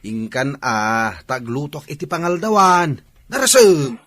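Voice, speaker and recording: An actor with an Ilocano accent playing a needy, wheedling father in a comedy drama, his voice husky. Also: fairly high pitch, sing-song, measured pace, fairly bright tone, heavily acted. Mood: nagging. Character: father figure of the household, needy, wheedling comic relative.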